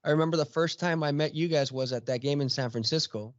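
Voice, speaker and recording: A man's voice: a little high, fast, dry recording, muffled recording. A man in his late thirties from Mexico, a podcast guest chatting casually with many fillers and restarts. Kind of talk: casual chat.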